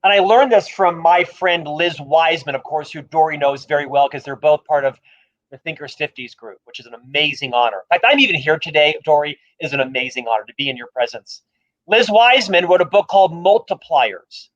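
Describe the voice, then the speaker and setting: A man with a high, light voice and a stutter speaks emphatically and self-deprecatingly. The sound is dull and lo-fi, like a livestream call. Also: fairly quick, a bit bright. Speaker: author and guest speaker. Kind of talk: livestream interview talk.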